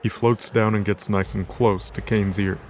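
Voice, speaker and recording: A man's voice: lowish voice, steady tone, moderate pace, a little mellow, a little hiss or hum. A man reading scripted narration for a light novel audio drama. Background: background music.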